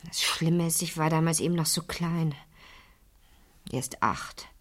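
An intense teenage girl with a breathy, slightly husky voice that sits a little low. She is inquisitive and keeps pressing, as in a radio crime drama. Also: fairly even intonation, measured pace. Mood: troubled. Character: insistent, inquisitive 15-year-old girl haunted by a murder.

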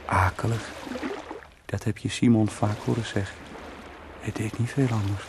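A man's voice: lowish voice, steady tone, moderate pace, lightly acted. An actor in a radio play monologue, playing a brooding, wry man who muses to himself in short, bitterly ironic fragments. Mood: wry reminiscing. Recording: noisy radio play recording.

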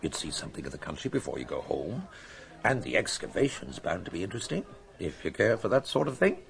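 A worldly, genial British doctor in a radio drama. His deep, gravelly voice moves in lively, wry swoops. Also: quick pace. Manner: wry, hesitant, conversational.

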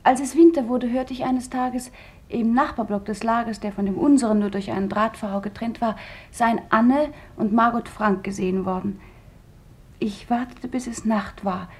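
A woman's voice: a little high, steady tone, moderate pace, lightly acted. A woman playing a grieving survivor who gives earnest, emotionally intense testimony in a radio drama. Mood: sorrowful recollection. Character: grieving woman survivor recalling a lost friend.

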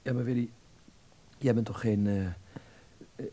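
A man's voice: medium pitch, fairly even intonation, measured pace, warm tone, natural voice. An actor playing a naive, uneasy young Dutchman abroad in a radio drama, hesitant and searching for words. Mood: embarrassed, flustered.

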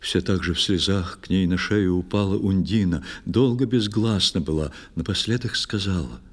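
A man narrating a verse fairy tale in an expressive, scripted storytelling style. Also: sits low, moderate pace. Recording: music behind the voice. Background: clear instrumental music.

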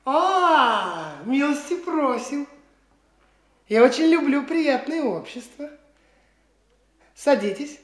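A swaggering young impostor. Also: female voice, high voice, slow pace, pauses often, echoey room, heavily acted. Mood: boastful. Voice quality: swaggering, lively, condescending. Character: boastful young male impostor official, flighty. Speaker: actor in a radio play. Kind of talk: stage radio play acting.

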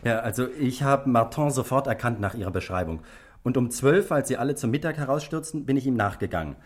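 An actor in a 1960s German radio play, playing an eager, bashful young junior police detective who speaks a little hesitantly. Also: male voice, mid-range voice, animated, a little fast, close to an everyday voice. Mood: eager, pleased. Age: young adult.

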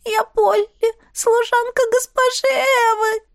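A woman voicing a frantic, tearful young maidservant in an audiobook, shrill, wailing and pleading. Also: very animated, fairly quick. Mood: tearful, remorseful. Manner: shrill, wailing, overwrought delivery.

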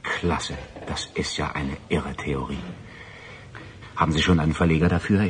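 A man's voice: rumbling low, fairly even intonation, normal speed, background noise. A man playing a flippant, self-important media showman in a German radio drama, talking in a sardonic, offhand, rambling way. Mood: mocking.

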